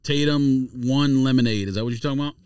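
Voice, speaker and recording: A man's voice: mid-range voice, quick pace, darkish tone, smooth, no echo, clean studio sound. A man chatting casually and trading banter as a panelist on a podcast.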